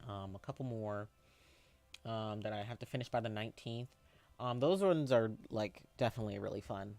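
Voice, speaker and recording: A man in his twenties chatting casually on a live stream, answering viewers in a rambling, halting way with lots of fillers and restarts. He has a youthful, boyish-sounding voice. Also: on the low side. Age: 20s.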